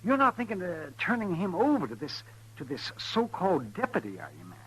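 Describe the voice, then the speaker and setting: An actor playing a crusty, indignant frontier doctor, raspy and fussy, in an old radio western. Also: male voice, high-pitched, big pitch swings, brisk, a bit bright, slight background noise, poor audio. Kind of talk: 1950s American radio western acting.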